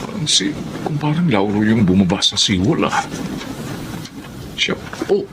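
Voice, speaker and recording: A man's voice: fairly low pitch, sing-song, a little slow. An actor playing an alarmed middle-aged man, a family friend, in a Filipino radio drama. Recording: clear ambient noise behind.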